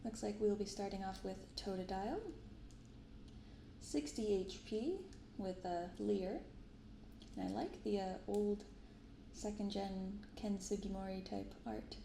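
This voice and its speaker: A soft-spoken woman hosting an ASMR video, reading aloud and commenting casually in a gentle, quiet delivery. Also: mid-range voice, fairly even intonation, unhurried, vocal fry. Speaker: host of a card-opening channel. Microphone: binaural microphone. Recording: roomy sound with some background noise.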